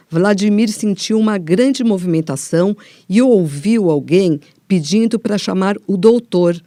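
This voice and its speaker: A Brazilian woman presents in a soft-toned, mellow voice with very little room sound. She reads scripted documentary narration and puts questions as an interviewer. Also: mid-range voice, dry recording, clean studio sound.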